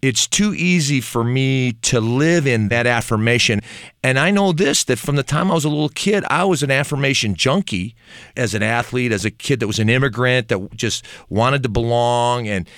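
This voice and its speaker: A man whose first language is Turkish, a ministry leader speaking earnestly and with self-deprecating humor in a relaxed conversation about faith. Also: medium pitch, moderate pace, no echo, studio quality. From Turkey. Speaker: ministry leader, podcast guest.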